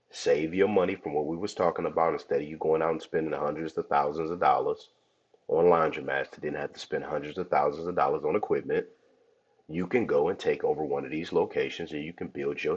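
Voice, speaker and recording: Hoarse, very deep-voiced podcast host. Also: male voice. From Cleveland, Ohio. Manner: chatty, addresses listeners as y'all. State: hoarse from a cold. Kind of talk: casual podcast talk. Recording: dull lo-fi audio.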